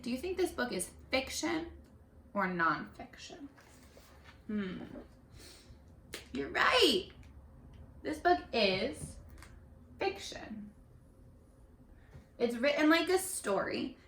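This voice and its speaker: A woman teacher leading an interactive read-aloud lesson for young children, animated and encouraging, often pausing to ask questions. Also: on the high side, slight background noise. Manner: animated, encouraging, asks questions and pauses for answers. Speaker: primary school teacher. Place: living room at home. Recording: roomy home echo.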